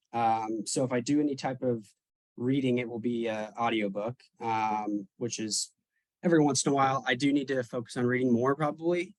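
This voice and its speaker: A man in his twenties, a real estate agent, answering interview questions over a video call, relaxed, with frequent ums and restarts. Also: mid-range voice, no echo, low-quality recording. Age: 20s.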